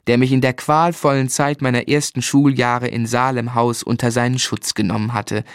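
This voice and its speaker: An actor plays a man telling the memories of his youth in a radio drama. The voice is mid-pitched and recorded dry, without room sound. His delivery is measured, literary and reflective. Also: darkish tone, no room sound, lightly acted. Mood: fond recollection. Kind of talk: scripted literary narration.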